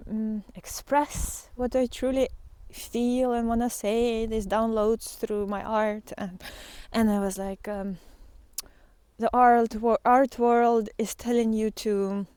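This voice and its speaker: A woman giving an unscripted, reflective spiritual monologue, thinking aloud with pauses and earnestly repeating key phrases. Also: fairly high pitch, flat delivery, warm tone, smooth, no room sound. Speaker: spiritual teacher and artist.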